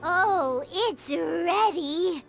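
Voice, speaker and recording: A woman voicing a tiny, cheerful childlike fairy companion in a video game, squeaky and perky. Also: extremely slow, gravelly, full character voice. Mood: excited. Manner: perky, refers to self in third person. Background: music.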